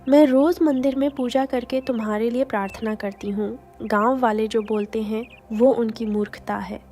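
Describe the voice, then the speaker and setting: A woman voicing a gentle, devoted young village girl who speaks earnestly and encouragingly, in a cartoon story. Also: fairly high pitch, fairly even intonation, normal speed, soft background music, natural voice.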